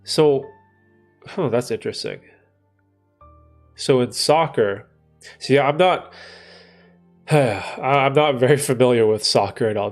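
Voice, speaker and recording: Stuffy-nosed man, gravelly casual chat. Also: mid-range voice. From Canada. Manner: rambling, thinking aloud with many fillers and restarts. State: stuffy nose from a cold. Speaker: livestream host answering chat.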